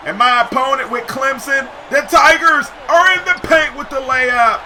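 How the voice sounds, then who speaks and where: Man, gamer commentary, high voice, very bright tone, excitable, slangy, shouts at big plays, a bit hoarse. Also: normal speed, a faint crowd, a little hiss or hum. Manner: slangy, says "yo" often. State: voice getting hoarse. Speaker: gaming YouTuber. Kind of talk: live gameplay commentary.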